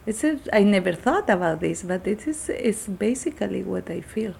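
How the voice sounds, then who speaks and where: A woman's voice: medium pitch, lively intonation, normal speed, gravelly, darkish tone, a little hiss or hum. A middle-aged woman from Chile, a native Spanish speaker with a Chilean Spanish accent, answering interview questions in an earnest, thoughtful way. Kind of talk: reflective personal interview answers.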